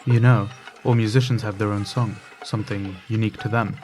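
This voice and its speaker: An actor playing a world-weary failed musician in hiding, fatalistic and bitter, in an audio drama dialogue. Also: male voice, fairly low pitch, steady tone, a little fast, natural voice. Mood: pressing, fatalistic. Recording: music bed under voice.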